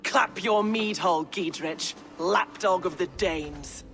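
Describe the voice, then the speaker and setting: Slow, venomous Saxon noblewoman. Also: on the low side, faint music behind. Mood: commanding. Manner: haughty, venomous. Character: scornful, foul-mouthed Saxon noblewoman commander. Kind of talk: video game voice acting.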